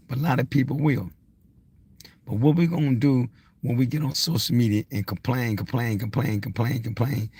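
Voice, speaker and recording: Gravelly, husky man around sixty. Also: medium pitch, a little mellow, dry recording. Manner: blunt, insistent, repetitive, swearing. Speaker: social media motivational speaker. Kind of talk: unscripted motivational rant to camera.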